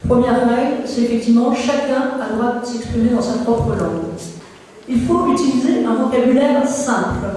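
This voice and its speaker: Woman giving a conference talk, mellow voice, very echoey room, explains things methodically. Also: high-pitched. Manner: didactic, step-by-step explaining. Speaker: project presenter. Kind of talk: conference presentation. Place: large reverberant room at a conference. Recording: echoey hall from far off, faint noise.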